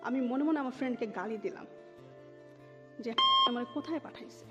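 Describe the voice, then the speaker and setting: A woman's voice: fairly high pitch, fairly quick. A woman in her thirties with a Bangladeshi accent, telling her life story as an interview guest and dropping English words into her talk. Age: 30s. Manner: mixes English words into Bengali. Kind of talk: personal life storytelling in conversation. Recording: dull lo-fi audio with music behind. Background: music.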